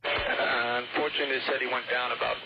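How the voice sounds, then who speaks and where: A man's voice: medium pitch, steady tone, quick pace, creaky, over loud music. An American man working as an air traffic controller, speaking in clipped phrases over aviation radio. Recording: very noisy, muffled aviation radio.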